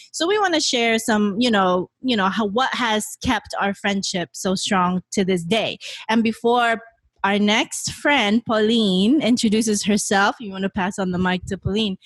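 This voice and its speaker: Chatty woman with a high, dry voice. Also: a bit bright, no echo. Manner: chatty, leans on "you know" fillers. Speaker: podcast host. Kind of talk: casual podcast chat. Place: bedroom in a friend's home.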